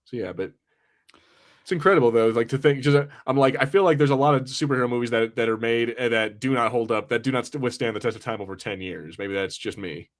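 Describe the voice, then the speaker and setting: A man in his early thirties rambles through casual podcast talk in an easy-going way, often drifting off mid-thought. His pitch is ordinary and middle-range. He comes across as relaxed and unpolished. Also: fast talker. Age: 30s. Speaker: podcast co-host. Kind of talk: casual podcast banter, reading chat comments.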